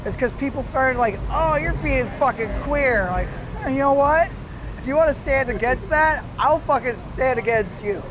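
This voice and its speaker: A man's voice: extremely high pitch, normal speed. A young American man chatting casually and earnestly in a rambling way, with heavy swearing. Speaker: livestreamer. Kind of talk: casual street chat. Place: outdoors in a city at night. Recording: muffled, noisy outdoor livestream audio.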